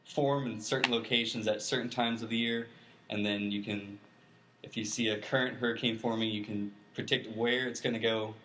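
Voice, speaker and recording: Male interview guest, fairly low voice, informal expert explainer, plain-spoken delivery. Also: fairly bright tone, low-quality recording.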